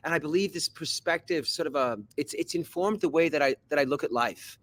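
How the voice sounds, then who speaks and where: A man from Switzerland, a podcast guest who is an actor and coach, telling a personal story in a thoughtful, halting way with many repeated words. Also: on the high side, fairly bright tone. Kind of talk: reflective personal story in conversation.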